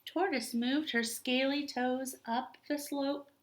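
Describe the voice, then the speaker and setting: A woman reading a children's story aloud like a teacher, with deliberate, expressive delivery. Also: sits high.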